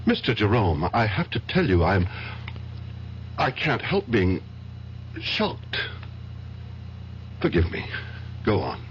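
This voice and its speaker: A man's voice: on the low side, huge pitch swings, slow, pauses often, exaggerated voice. An actor in an old-time radio drama playing an earnest doctor, hesitant and incredulous as he questions his patient. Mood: shocked. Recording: muffled, hissy old radio recording.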